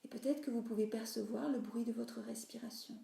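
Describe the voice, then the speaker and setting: A woman yoga nidra teacher leading a guided relaxation meditation in a soothing, instructive way, with phrases that soften into breathiness. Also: fairly high pitch, steady tone, fairly quick, creaky. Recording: roomy, reverberant voice recording.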